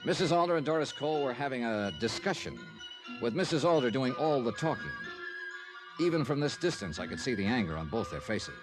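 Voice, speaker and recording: An actor playing a shrewd, wry, hardboiled private investigator with an American accent in an old-time radio detective drama. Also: male voice, mid-range voice, natural voice, poor audio. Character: shrewd, sardonic male private investigator narrating his case. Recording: vintage radio recording with music.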